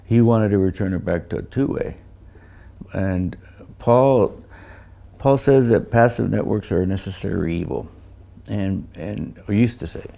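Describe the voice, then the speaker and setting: An older man who designs loudspeakers, talking casually about technical matters and often repeating words as he recounts things. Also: fairly low pitch, creaky. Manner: halting, repeats words, anecdotal.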